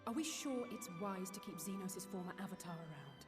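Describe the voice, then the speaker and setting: A woman's voice: mid-range voice, expressive, a little fast, natural voice. An actress voicing a frank, wry and determined young woman adventurer in a video game. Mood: teasing, frank. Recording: reverberant hall with music behind.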